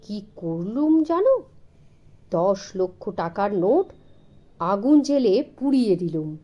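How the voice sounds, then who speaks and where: A woman's voice: mid-range voice, sing-song, slow, dark tone, lo-fi sound, voice pushed down. A woman voicing a grand, boastful rich man in a children's story, grave and pompous in manner.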